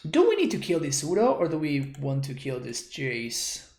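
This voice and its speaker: A man's voice: on the high side, huge pitch swings, normal speed, a little mellow. A man from Argentina, streaming a card game and thinking aloud as he works through his plays. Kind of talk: live card game commentary. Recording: roomy echo.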